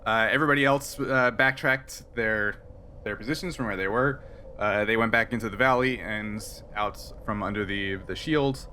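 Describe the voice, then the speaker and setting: A man's voice: on the low side, moderate pace, pushed and bright, a little hiss or hum. A man narrating and refereeing a tabletop roleplaying game in an easygoing, conversational way. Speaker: game master. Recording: background music under the voice.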